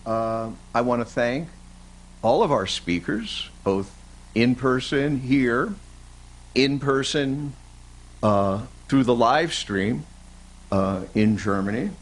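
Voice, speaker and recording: An older man hosting an event gives thanks into a stage microphone, haltingly and with frequent pauses. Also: mid-range voice, expressive, rough recording. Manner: halting, pausing often. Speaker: event host. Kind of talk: spoken closing thanks. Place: indoor event stage with curtain. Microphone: microphone on a stand.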